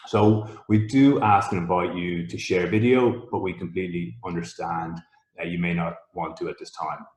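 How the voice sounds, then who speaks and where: Man, very deep voice, polite organized delivery, roomy echo, video call. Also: fairly even intonation, moderate pace, darkish tone. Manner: polite, organized, explains logistics. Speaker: coach and co-host. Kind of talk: webinar introduction.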